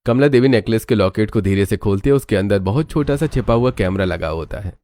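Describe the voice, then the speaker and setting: A man's voice: on the low side, expressive, fairly quick, darkish tone, no room sound. A man reading scripted, dramatic narration for a cartoon story.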